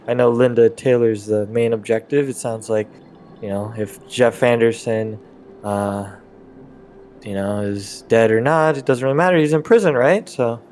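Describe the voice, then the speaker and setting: Man, mid-pitched, hesitant, halting delivery, casual improvised roleplay, tabletop game player. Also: fairly even intonation, a little mellow.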